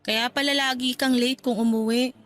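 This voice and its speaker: Radio drama: woman voicing a thirty-something girlfriend, high voice, quietly jealous. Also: fairly even intonation, normal speed, lightly acted. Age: 30s. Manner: teasing, complaining, turns sharply jealous.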